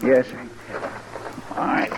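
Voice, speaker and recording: An actor playing an eager, folksy marshal's deputy with country phrasing in an old radio western. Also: male voice, takes it slowly, crowd noise, close to an everyday voice. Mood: relieved, eager. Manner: folksy drawling country talk. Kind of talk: 1950s radio western acting. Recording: very noisy old radio broadcast.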